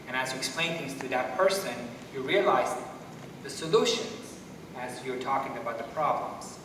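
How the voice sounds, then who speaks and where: Distant middle-aged man in an echoey hall. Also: medium pitch. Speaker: brain doctor on a panel. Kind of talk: panel discussion, explanatory talk. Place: school theater stage at a panel table. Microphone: distant from the speaker. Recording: echoey hall, distant mic, background noise.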